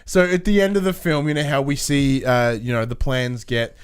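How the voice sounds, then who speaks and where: A man's voice: mid-range voice, fairly even intonation, normal speed, clear, resonant tone. A man co-hosting a movie podcast in casual, informal conversation, swearing freely as he talks. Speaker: podcast co-host.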